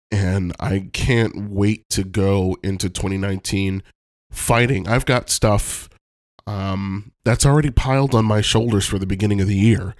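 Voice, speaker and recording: A man's voice: deep voice, no room sound, clean studio sound. A man with a cold, sounding stuffed up, talking candidly and reflectively on his podcast with many ums and casual swearing. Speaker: podcast host. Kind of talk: personal reflective monologue. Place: Los Angeles home.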